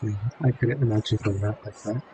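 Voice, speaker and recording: A man's voice: fairly low pitch, fairly even intonation, a little fast, dark tone, husky, rough recording. A man casually commenting while playing a game, with offhand, mumbly asides, on a built-in mic. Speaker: gamer. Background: game music.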